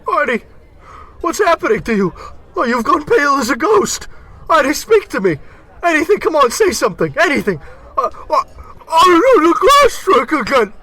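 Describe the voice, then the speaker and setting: A man's voice: very high voice, sing-song, moderate pace, sharp tone, heavily acted, poor audio. A man playing a slangy, wisecracking working-class barfly in an old-time comedy radio play. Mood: panicked. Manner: slangy tough-guy patter. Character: wisecracking 1940s-style working-class barfly, excitable, easily panicked.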